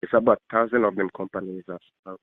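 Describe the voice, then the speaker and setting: Low-voiced man on a tinny phone line. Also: fairly even intonation, quick pace, no room sound. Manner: repetitive, rambling, nonstandard grammar. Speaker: call recipient, student. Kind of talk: earnest phone conversation. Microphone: telephone.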